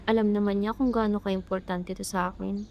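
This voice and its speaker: A woman's voice: mid-range voice, steady tone, a little fast, lo-fi sound, slight background noise, lightly acted. A woman with a Filipino accent playing a sweet, caring younger sister in an amateur radio drama. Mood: apologetic.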